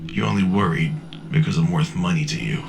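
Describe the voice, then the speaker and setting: A man playing a weathered older scavenger in a sci-fi audio drama, wry, profane and gravelly. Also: low-pitched, lively intonation, brisk, music in the background. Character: weathered older male wasteland scavenger on a respirator.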